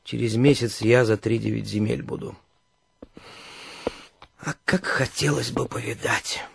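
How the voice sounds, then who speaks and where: A man's voice: mid-range voice, unhurried, creaky, slightly rough, no echo, clean studio sound, exaggerated voice. An actor playing a pensive, world-weary man back home after years away, musing unhurriedly in a radio drama. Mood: tender, wistful. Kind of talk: radio drama inner monologue.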